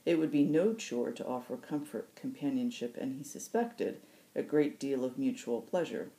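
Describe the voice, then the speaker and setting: Female storyteller, markedly low register, mellow tone, reading novel excerpts as host. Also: expressive, moderate pace, rough recording. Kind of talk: audiobook-style fiction narration.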